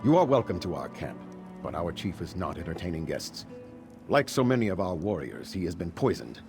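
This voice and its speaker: Gravelly, low-voiced desert camp guard. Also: male voice, very animated, brisk, music in the background, exaggerated voice. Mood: stern, protective. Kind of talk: video game character dialogue.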